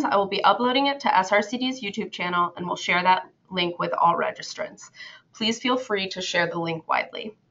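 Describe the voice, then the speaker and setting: A woman coordinator opens an online webinar, reading formal welcome remarks and housekeeping notes from a script. Her voice sits at a middle pitch for a woman. The audio is a little dull and muffled, as webinar sound often is. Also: bright, forceful tone. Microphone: computer microphone in an online webinar.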